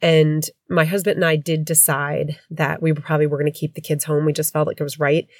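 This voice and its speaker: Congested middle-aged New woman, low-pitched, rambling podcast host, dry room. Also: level tone, fairly quick, no room sound. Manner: chatty, rambling, candid. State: congested with a head cold. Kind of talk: casual podcast chat. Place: home.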